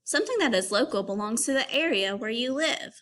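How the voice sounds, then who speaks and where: Female primary teacher for a kids' read-aloud: higher voice, very animated intonation, instructional, questioning the listener directly. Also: a little fast. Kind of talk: educational read-aloud for young children.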